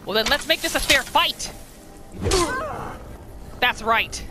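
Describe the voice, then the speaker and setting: A woman gaming host narrating a roleplay playthrough in a casual, expressive, playful storytelling style. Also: on the high side, slow, music in the background.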